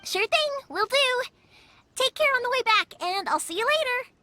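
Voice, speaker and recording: A woman's voice: extremely high pitch, animated, moderate pace, sharp tone, slight background noise, exaggerated voice. A woman voicing a cheerful young fireworks maker, upbeat and encouraging, in game voice acting.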